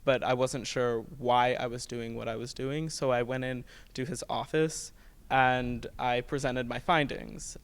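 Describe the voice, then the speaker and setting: A teenage male student actor with an American accent, explaining his process in a measured, conversational way during an interview. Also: mid-range voice, vocal fry, clean tone. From United States. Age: teens.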